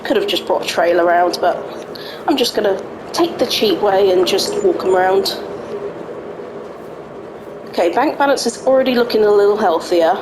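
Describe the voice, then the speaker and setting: Croaky-voiced woman chatting over gameplay. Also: medium pitch, normal speed, long pauses, noisy background. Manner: chatty, talks to herself while playing. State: slightly croaky voice. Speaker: gaming channel host. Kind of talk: casual let's-play commentary. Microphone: home desk microphone.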